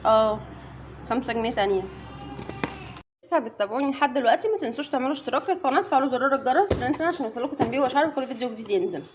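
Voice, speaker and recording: A woman's voice: sits high, lively intonation, very fast, noisy background. A woman with an Egyptian accent, a mother chatting casually to her viewers while unboxing. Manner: chatty, addresses viewers directly. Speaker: mother and family vlogger.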